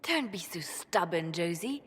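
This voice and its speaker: An actress playing a pragmatic, quietly ruthless spymaster in a video game, assured and matter-of-fact. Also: female voice, on the low side, lively intonation, a little slow, breathy edge, big-room sound, full character voice. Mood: cool, persuasive. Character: cool, pragmatic woman spymaster, teasing and ruthless. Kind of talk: video game cutscene acting.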